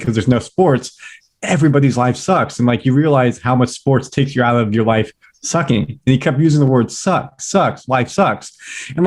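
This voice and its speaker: An upbeat young man with a Californian accent, guesting on a podcast. He talks in quick, eager bursts, full of fillers and casual asides, sounding like he's recorded at home. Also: mid-range voice, a little mellow. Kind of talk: casual interview chat.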